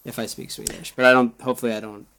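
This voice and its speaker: An American man in casual podcast talk, explaining things in an easygoing, self-deprecating way. His voice sits at a middle pitch, with nothing unusual in its tone. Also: level tone, rapid-fire, fairly bright tone. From United States. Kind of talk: casual chat.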